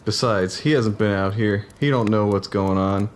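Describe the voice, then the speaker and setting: A man's voice: lowish voice, fairly even intonation, moderate pace, a little hiss or hum, natural voice. A man playing a skeptical cavalry private in a historical drama, speaking in plain, folksy frontier language.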